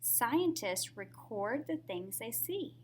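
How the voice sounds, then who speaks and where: A woman's voice: a little high, big pitch swings, slow pace, noisy background. A woman reading a picture book aloud to young children in a teacherly way, often putting questions to the listener.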